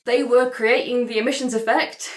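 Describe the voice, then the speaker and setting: A woman's voice: a little high, steady tone, normal speed. A woman playing a tabletop roleplaying game, talking conversationally in and out of character. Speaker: player in an actual-play podcast.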